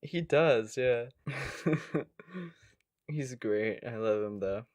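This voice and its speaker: A man's voice: medium pitch, drawn-out words, darkish tone, no echo. Giggly man in casual, dry-sounding podcast chat. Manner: giggly, easily amused. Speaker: podcast co-host.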